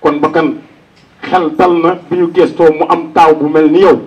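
A man's voice: a little high, fairly even intonation, normal speed, recorded from a distance, lo-fi sound. A Senegalese man delivering a public funeral tribute as eulogist, speaking into a microphone outdoors. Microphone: handheld-type microphone on a stand.

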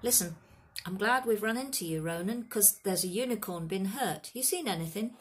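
A woman's voice: mid-range voice, animated, moderate pace, voice pushed up, close to an everyday voice. A woman voicing a big, kindly but grumpy gamekeeper man in a storybook, blunt and colloquial in rustic dialect. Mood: probing, impatient. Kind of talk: storybook character voice.

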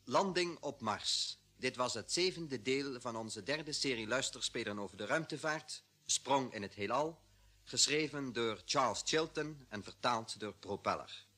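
A man's voice: medium pitch, animated, unhurried, bright, forceful tone, breathy edge. A man giving a formal, old-fashioned radio drama announcement.

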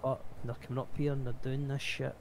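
A man's voice: medium pitch, level tone, brisk, a little mellow. A man improvising as an aggrieved biker business owner, speaking bluntly with swearing in a roleplay voice chat. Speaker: roleplay game player. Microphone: gaming voice chat mic. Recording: noisy game voice chat.

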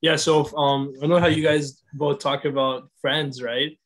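A man's voice: on the high side, little pitch movement, a little slow, a bit bright. A young man from Sri Lanka talking casually on a video call panel, chatty with lots of "like" and "right". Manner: chatty, many likes and rights.

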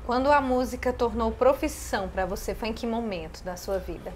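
Higher-voiced woman hosting an interview. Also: a little fast, a little hiss or hum. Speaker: TV interview host. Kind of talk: conversational TV interviewing. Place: guest's living room.

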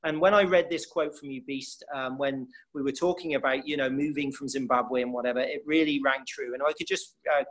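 A middle-aged man from England hosting an interview over a video call from an indoor room, polished and steady. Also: medium pitch, fairly quick, few pauses, low-quality recording. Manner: polished, reads quotes aloud. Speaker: host.

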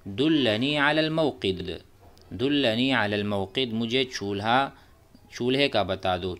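A man's voice: fairly low pitch, fairly bright tone, smooth. A man teaching spoken Arabic, who repeats each Arabic phrase and then explains it in Urdu. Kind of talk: slow explanatory lesson. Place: voice-over on a screen-recorded whiteboard.

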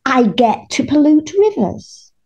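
A haughty villain queen from a radio pantomime, gloating and menacing, as a woman acts her out. Her voice rides high and swings widely in pitch. She takes her time, drawing out every threat. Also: big pitch swings, slow, a little mellow, muffled recording, heavily acted. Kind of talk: local radio pantomime acting.

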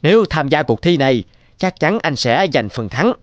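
Shrewd, genial cartoon merchant. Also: male voice, a little high, big pitch swings, normal speed, dry recording, lo-fi sound. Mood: encouraging.

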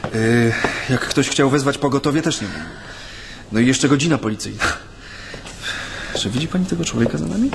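An actor playing a reserved Japanese interpreter in exile in a naturalistic radio drama, speaking politely with hesitant pauses. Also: male voice, medium pitch, gravelly, noisy background, lightly acted. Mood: uneasy. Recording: studio radio drama with music.

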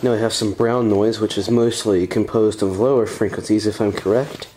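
Low-pitched man, casual hobbyist demo. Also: steady tone, normal speed, mellow, slight background noise. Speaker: audio hobbyist presenter. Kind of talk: casual handheld demo commentary. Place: room at home with wooden floor.

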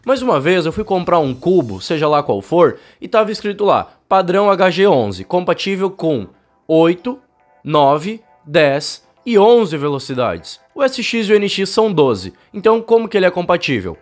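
A man's voice: high-pitched, big pitch swings, soft background music. A young man from Brazil hosts a cycling channel, talking to camera and explaining with his hands while he has a bad cold. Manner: fast, chatty, explains with hand gestures. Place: home studio room. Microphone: fluffy-windscreen desk mic, close.

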